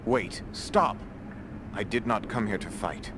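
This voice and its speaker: A calculating sellsword from a fantasy game. His voice is low and he talks with smug, cynical confidence, over clearly audible background noise. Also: expressive, slow pace. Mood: urgent, earnest. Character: cynical, confident mercenary swordsman, taunting and calculating. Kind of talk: video game character acting. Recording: clearly noisy game capture.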